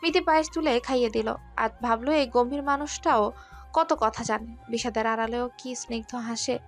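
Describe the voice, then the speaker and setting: Fairly high-voiced woman story narrator. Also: normal speed, faint music behind. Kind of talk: scripted romance story narration.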